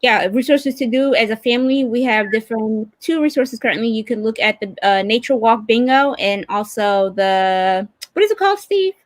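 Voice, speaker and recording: A woman hosting a live online stream, talking informally and haltingly as she searches for words. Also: fairly high pitch, animated, no echo. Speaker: livestream host. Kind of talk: unscripted live hosting, casual chat. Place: remote video call.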